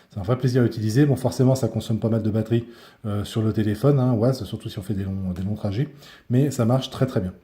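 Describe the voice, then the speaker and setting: A man's voice: on the low side, mellow. A man giving a casual hands-on product review, speaking informally and often searching for his words.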